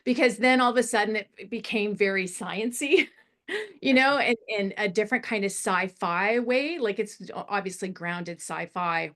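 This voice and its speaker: A woman's voice: mid-range voice, moderate pace. Middle-aged woman giving encouraging feedback. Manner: encouraging, animated, digressive. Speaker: script feedback panellist. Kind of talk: casual spoken feedback on a screenplay.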